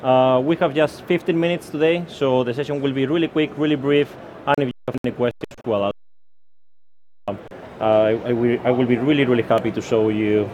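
A man's voice: mid-range voice, darkish tone, slight background noise, rough recording. Spanish-accented man giving a tech talk. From Spain. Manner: hesitant, many fillers and restarts. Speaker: conference speaker. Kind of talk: technical conference talk. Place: conference session room.

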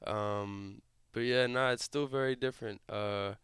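A young man with an Australian accent, a guest on a podcast, answering in a relaxed, halting way with lots of fillers. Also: on the low side, clean tone, no room sound, studio quality. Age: young adult. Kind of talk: podcast interview.

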